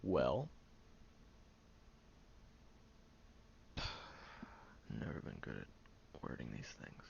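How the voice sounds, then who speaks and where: A man playing a prickly, guarded young loner who grudgingly cares, in an intimate one-sided audio roleplay, sardonic and hesitant with breathy murmurs. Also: rumbling low, huge pitch swings, unhurried, darkish tone, heavily acted. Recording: muffled, lo-fi, noticeable background hiss.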